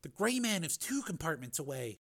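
An earnest young sleuth voiced by a man speaks cautiously and quietly, as if plotting. The voice sits high with a creaky edge, in a storybook mystery. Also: sing-song, brisk, exaggerated voice. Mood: hushed, cautious. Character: earnest, cautious teenage boy detective.

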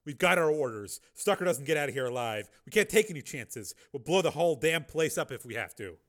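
A man's voice: a little high, lively intonation, brisk, a bit bright, pitched above the performer's own voice. A man playing a grim riot squad lieutenant. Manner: grim, clipped, commanding. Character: gruff male riot squad lieutenant, hard-nosed.

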